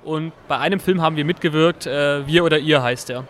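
A teenage man with a slightly raised pitch who explains film production steadily and pauses on fillers. He is heard outdoors at an urban festival. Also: a bit bright. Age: teens. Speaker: young filmmaker.

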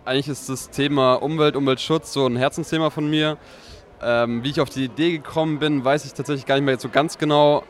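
Man, 24, film graduate, calm, reflective, mid pitch. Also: a little fast. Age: 20s.

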